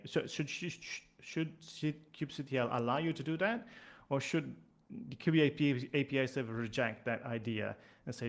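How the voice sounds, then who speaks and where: A man giving a casual online technical explanation, with fillers and repeated words. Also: mid-range voice. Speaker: trainer.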